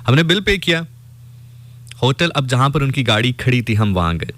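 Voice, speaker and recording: A man's voice: mid-range voice, big pitch swings, normal speed, a bit bright. A man with a Pakistani accent, a radio host telling a story conversationally and reflectively, dropping in English phrases.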